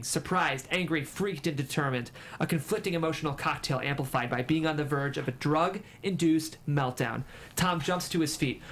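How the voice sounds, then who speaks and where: A man's voice: fairly high pitch, a bit bright. A man reading stage directions as the narrator of a screenplay table read. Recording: faint room noise.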